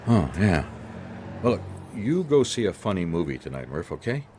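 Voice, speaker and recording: An actor playing a world-weary, wry private detective with an American accent in a noir radio drama, dry and hard-boiled in dialogue and narration. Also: male voice, deep voice, very animated, normal speed, darkish tone, slight background noise. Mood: wry, narrating.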